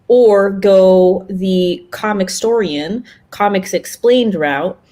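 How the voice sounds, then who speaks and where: A woman's voice: medium pitch, slow, warm tone. A woman co-hosting a casual podcast, chatting and reading out questions from the audience.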